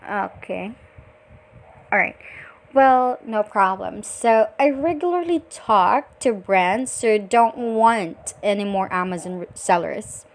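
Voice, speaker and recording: A woman from the Philippines with a Filipino accent, speaking politely and deliberately as a virtual assistant on a scripted sales call. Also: mid-range voice. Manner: polite, deliberate, frequent acknowledging murmurs.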